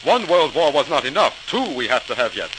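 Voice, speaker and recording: An actor playing a distraught Swiss vineyard owner who pleads emphatically in 1940s radio drama style. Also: male voice, medium pitch, sing-song, fairly quick, close to an everyday voice. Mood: worried, pleading. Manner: emphatic, repetitive, foreign-flavored phrasing. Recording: noisy crackling old radio recording.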